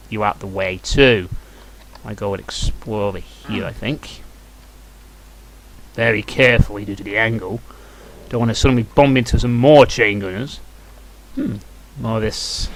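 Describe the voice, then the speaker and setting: British male let's player, mid-pitched voice, wry grumbly casual commentary, clearly noisy home recording with game gunfire behind. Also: fairly bright tone. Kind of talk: casual off-the-cuff gameplay commentary. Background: shooter game gunfire and clicks.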